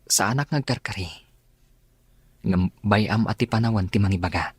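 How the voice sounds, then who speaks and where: A man's voice: lowish voice, normal speed, slightly rough, dry recording. A man playing a kind, sincere suitor in a radio drama. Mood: earnest, tender. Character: kind, earnest male suitor, generous and sincere.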